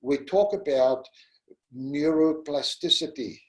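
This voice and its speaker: A middle-aged man with a South African accent, first language Afrikaans, giving a gentle, pastoral webinar talk. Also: on the high side, takes it slowly, poor audio. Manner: gentle and pastoral, addresses listeners as "my friend". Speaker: webinar presenter. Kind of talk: slow, earnest teaching talk.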